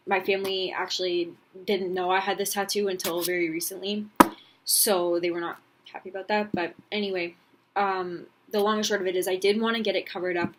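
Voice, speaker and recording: Young woman chatting on her vlog, lowish voice, lots of ums, kinda rambly and open. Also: a bit bright. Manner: candid, rambling, many ums and restarts. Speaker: vlogger. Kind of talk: casual talking-to-camera vlog.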